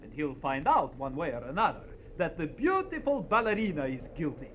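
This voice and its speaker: An actor playing a murderous, half-crazed ballet dancer with theatrical menace in a 1940s radio melodrama. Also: male voice, on the high side, sing-song, brisk. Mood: menacing, bitter. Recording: muffled, noisy old radio recording.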